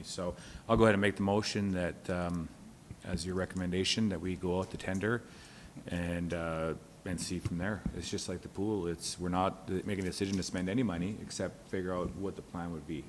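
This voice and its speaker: A man's voice: low voice, slight background noise. A man speaking as a councillor in a meeting debate, plain-spoken and matter-of-fact. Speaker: municipal councillor.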